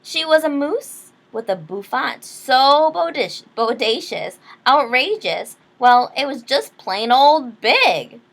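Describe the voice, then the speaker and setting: A woman reading a children's picture book aloud expressively, pausing to explain things to young listeners. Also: sits high, sing-song, fairly bright tone. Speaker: read-aloud storyteller.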